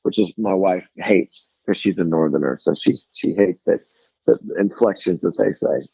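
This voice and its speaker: A man's voice: deep voice, normal speed, gravelly, warm tone, slightly rough, no echo. Southern guy on a muffled phone call, rambly and self-mocking, tons of restarts. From Tennessee, US. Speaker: guest musician. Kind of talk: casual phone interview storytelling. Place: at home by a lake.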